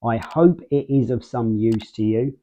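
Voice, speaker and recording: A man's voice: medium pitch, unhurried, warm tone, muffled recording. A man hosting a casual product review, explaining things step by step. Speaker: host of a radio gear review channel.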